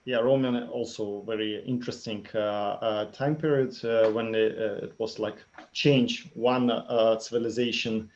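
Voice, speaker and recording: A man with a Russian accent, Russian native, co-hosting a casual interview, speaking haltingly with many fillers. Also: mid-range voice, fairly even intonation, darkish tone, slight background noise. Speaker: co-host. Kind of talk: casual video-call interview. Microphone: video call. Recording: lo-fi video call audio.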